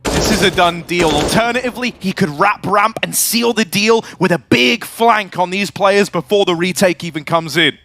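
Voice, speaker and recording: A man with a notably high-pitched voice calls a live esports match. His delivery is emphatic and excitable as he narrates the action and breaks it down. Noise and clicks are clearly audible behind the voice. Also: moderate pace. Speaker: esports commentator. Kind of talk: live esports play-by-play and analysis.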